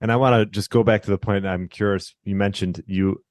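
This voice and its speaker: A man hosting a podcast, welcoming his guest and asking questions in a friendly, relaxed way. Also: fairly low pitch, fairly quick, no echo, studio quality. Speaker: podcast host. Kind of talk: casual interview hosting.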